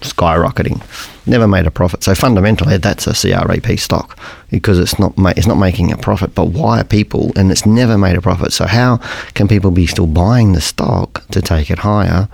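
A middle-aged man with a croaky voice hosting a podcast, explaining things in a rambling, conversational way and often correcting himself. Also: deep voice, a little fast, darkish tone, no echo. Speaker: podcast host. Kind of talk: casual educational podcast monologue.

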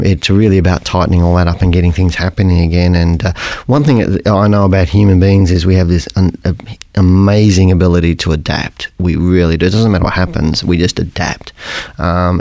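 A middle-aged man with a croaky voice hosting a podcast, explaining things in a rambling, conversational way and often correcting himself. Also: low-pitched, a little mellow, no room sound. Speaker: podcast host. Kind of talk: casual educational podcast monologue.

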